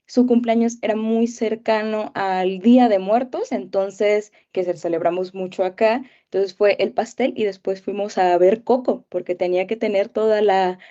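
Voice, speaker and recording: A young woman with a Mexican accent answers questions about her life in an easy, rambling way, filling gaps with small hesitations. Her voice sits mellow and dark. The recording sounds dull and muffled, like a video call. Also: mid-range voice. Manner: warm storytelling with many fillers like eh and este. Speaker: teacher. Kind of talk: casual interview answers.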